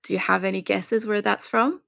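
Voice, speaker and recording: A woman's voice: medium pitch, fairly even intonation, a little fast, gravelly, fairly bright tone, no echo. A woman from Romania, a food blogger, telling her story casually as a podcast guest over slightly dull audio.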